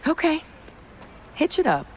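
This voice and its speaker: Animated, stubborn mechanic. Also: female voice, high-pitched, unhurried, a little hiss or hum. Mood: brisk, friendly. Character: stubborn, no-nonsense young female car mechanic. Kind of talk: video game cutscene acting.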